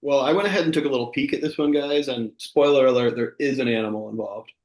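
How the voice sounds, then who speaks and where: In a roomy, echoey recording, a man with a mid-range voice hosts a comedy livestream. His banter is casual, delivered in a deadpan, mock-earnest manner. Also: medium pitch, fast. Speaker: co-host of a live comedy show. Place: online video call.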